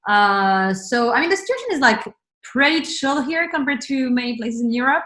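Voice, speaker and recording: A woman's voice: fairly high pitch, animated, normal speed, pushed and bright. A woman from France, a guest on a video call, chatting casually with lots of "like" and "uh" and easy laughter. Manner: chatty, many fillers and laughs. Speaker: interview guest. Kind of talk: casual chat.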